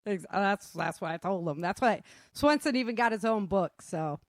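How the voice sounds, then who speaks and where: A woman's voice: mid-range voice, lively intonation, normal speed, dry recording, clean studio sound. A woman hosting a casual group chat, talking in a chatty, giggly way. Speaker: host and author of an audio drama.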